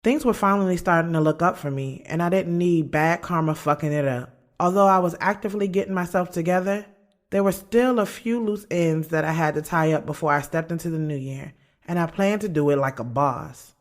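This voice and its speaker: A woman's voice: fairly low pitch, a little fast, natural voice. An actress playing a candid, loyal woman who narrates her own story in an audio drama, in a conversational, slangy and frank way. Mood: upbeat, determined. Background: music.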